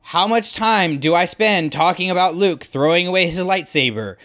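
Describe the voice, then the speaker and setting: A man's voice: high-pitched, expressive, normal speed, a bit bright. A man co-hosting a podcast, talking through a fan analysis in an emphatic way and acting out imagined reactions. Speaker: podcast co-host.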